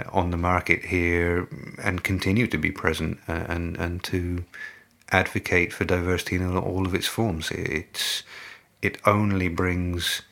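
A middle-aged man, a native English speaker, answering interview questions in a measured, halting way with many fillers and restarts. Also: low voice, gravelly, a bit bright. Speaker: company representative, interviewee.

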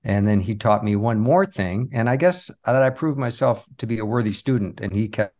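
A man's voice: on the low side, moderate pace, darkish tone. A man being interviewed as a podcast guest, telling stories casually in an earnest, reflective way.

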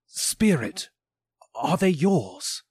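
A man's voice: fairly high pitch, very animated, extremely slow, a little mellow, breathy edge, dry recording, voice pushed up, full character voice. A man doing a strained, anxious old miser. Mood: pleading. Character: old male miser, fearful and pleading.

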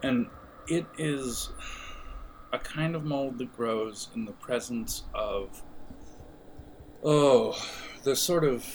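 A man's voice: medium pitch, slow pace, long pauses, a little mellow, a little hiss or hum, low-quality recording. A man narrating a role-playing game as game master, speaking conversationally and often searching for words.

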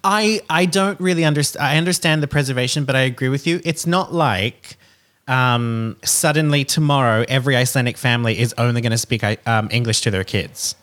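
A man chatting casually, talkative and animated, often breaking into laughter as he tells stories. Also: medium pitch, a little fast. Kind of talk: casual chat.

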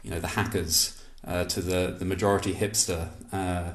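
A man hosting an interview podcast, relaxed, with dry humor and many fillers. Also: sits low, normal speed, echoey room. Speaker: podcast host. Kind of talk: interview conversation.

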